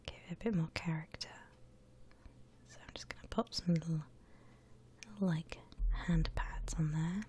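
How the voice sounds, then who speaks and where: A woman whispering gently and breathily as she guides listeners through a drawing, in the style of an ASMR tutorial. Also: low voice, steady tone, slight background noise. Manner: gentle, unhurried step-by-step explaining. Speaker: host of an art ASMR channel.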